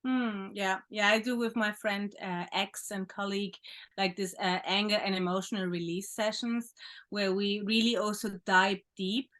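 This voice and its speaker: Woman host, mid-pitched and reflective, soft-spoken. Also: steady tone, normal speed. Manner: reflective, gentle, with occasional soft laughs. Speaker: podcast host, sexological bodyworker. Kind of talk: conversational interview.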